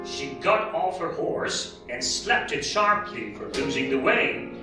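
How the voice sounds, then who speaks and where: A woman narrating a scripted readers theatre story in a measured, deliberate manner. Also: sits low, expressive. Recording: very echoey hall, distant mic, music behind.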